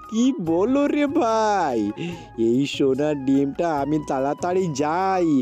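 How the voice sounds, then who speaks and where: Guy doing a poor village dad selling fruit in a kids cartoon, high mellow voice, faint music behind. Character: poor village fruit seller, earnest hopeful father. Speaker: character in a children's cartoon. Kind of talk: amateur Bengali cartoon dialogue.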